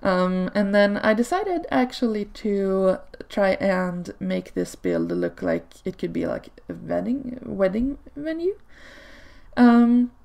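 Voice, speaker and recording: A woman whose first language is Swedish, chatting casually over gameplay footage in a relaxed way, with frequent ums and small laughs. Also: medium pitch, slow, creaky. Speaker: video creator. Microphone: home desk microphone.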